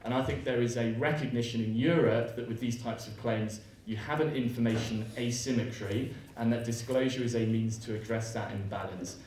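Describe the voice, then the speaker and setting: Panelist, man, even measured delivery, very echoey distant room. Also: medium pitch, a little hiss or hum. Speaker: panel speaker on law. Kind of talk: prepared conference talk. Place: lecture hall. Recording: echoey hall, distant mic.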